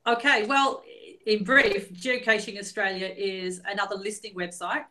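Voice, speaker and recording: A woman guest on a podcast, relaxed and explaining in casual interview chat. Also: mid-range voice, moderate pace.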